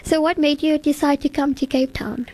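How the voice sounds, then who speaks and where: A woman hosts a radio interview from the studio. Her voice sits high, and she nudges her guest along with friendly, quick follow-up questions. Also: flat delivery, darkish tone. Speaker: radio show host.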